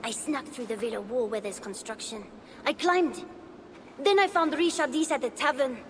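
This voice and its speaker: A woman's voice: high voice, normal speed, a bit bright, big-room sound, a little hiss or hum. A woman playing an earnest, eager young boy prince in a video game, with a childlike, pleading delivery. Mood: anxious. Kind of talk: dramatic video game acting.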